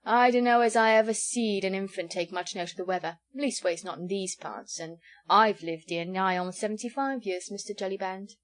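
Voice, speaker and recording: A woman doing a doleful old rustic villager, quiet and grumbling. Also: medium pitch, moderate pace, hardly any pauses, close to an everyday voice. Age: 70s. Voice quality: quiet, mournful, rustic drawl. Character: elderly male village sage, doleful and pompous.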